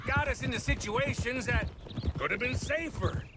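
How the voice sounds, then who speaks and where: An actor playing a scheming, folksy American outlaw in a western game, talking in a drawn-out, persuasive way. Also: male voice, very high-pitched, heavy background noise. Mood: self-pitying. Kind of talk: dramatic cinematic game acting.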